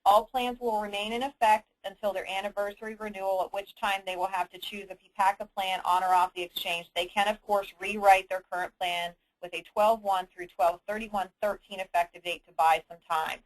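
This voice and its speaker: A woman hosting a conference call briefing, answering submitted questions in an explanatory, businesslike and somewhat halting manner. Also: mid-range voice, steady tone, rough recording. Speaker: host of an insurance agents' conference call. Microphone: telephone conference line.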